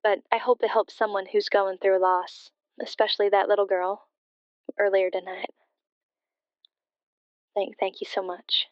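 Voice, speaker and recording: Actress as a wistful bereaved daughter, evenly pitched. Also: female voice, little pitch movement, clear, resonant tone, no echo, muffled recording, close to an everyday voice. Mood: grateful, sincere. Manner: hesitant, halting, reflective. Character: bereaved daughter, wistful and sincere, confiding a ghostly story. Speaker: caller to a radio show. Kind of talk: scripted audio drama, emotional personal storytelling.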